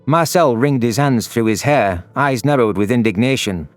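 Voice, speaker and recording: A man reads first-person science fiction narration in a medium-pitched voice. Faint music plays behind his scripted storytelling. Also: normal speed. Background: background music.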